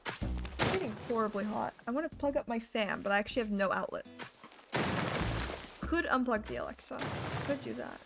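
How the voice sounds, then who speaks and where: Hoarse young woman rambling on stream. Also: on the high side, moderate pace, pauses often. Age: young adult. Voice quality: rough voice. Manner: rambling, self-deprecating, chatty. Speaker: live streamer. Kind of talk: casual gaming stream chat. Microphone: desk microphone. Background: music playing.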